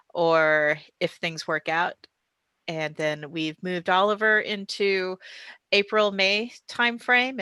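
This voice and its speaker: Bright, lower-pitched woman presenting. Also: clear, resonant tone, dry recording. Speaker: theatre company presenter. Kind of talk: casual discussion and announcements.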